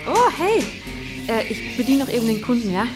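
A woman's voice: a little high, sing-song, brisk, over loud music. A woman playing a subdued, worried seventeen-year-old girl in a naturalistic radio drama, talking in casual youth slang. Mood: friendly, busy.

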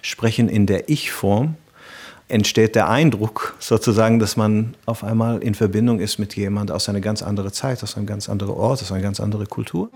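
A man's voice: on the low side, steady tone, fairly quick, darkish tone, slightly rough. A man from Israel, a guitarist and ensemble director, explaining his musical programme thoughtfully and at length in an interview. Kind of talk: interview answers.

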